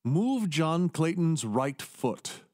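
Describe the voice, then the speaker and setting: A man's voice: on the high side, big pitch swings, extremely slow, darkish tone, full character voice. A man playing a stern, controlling scientist doctor in a radio drama, commanding, clinical and insistent. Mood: commanding, focused.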